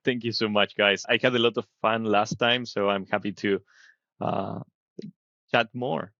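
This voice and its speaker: A middle-aged man from Argentina speaks in a fairly low voice, explaining his path into biotech in an easy conversational way. The audio is dry and a little dull, like a remote interview recording. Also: fairly even intonation, measured pace, gravelly, sharp tone, dry recording. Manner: thoughtful, explains with frequent "right?". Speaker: biotech company CEO, guest.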